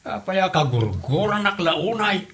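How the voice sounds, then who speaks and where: A man's voice: high-pitched, very animated, normal speed, fairly bright tone, heavily acted. A man playing an older Ilocano father, earnest and easily hurt, in a comedy drama. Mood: hurt, pleading. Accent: Filipino, Ilocano. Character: older father, wounded, earnest suitor to his in-law.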